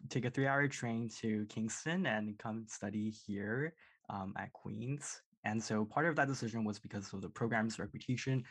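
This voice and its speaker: Husky-voiced upbeat young man. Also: fairly low pitch. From British Columbia, Canada. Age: young adult. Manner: upbeat, friendly, leads the conversation. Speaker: undergraduate student co-host. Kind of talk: conversational podcast talk.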